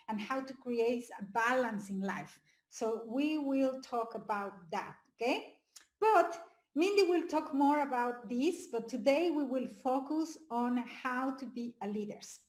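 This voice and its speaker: Woman life coach, Spanish-speaking, Mexican accent, high-pitched, upbeat, keeps quizzing the audience, roomy echo. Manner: energetic, asks the audience questions, nonnative phrasing. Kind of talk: live webinar talk.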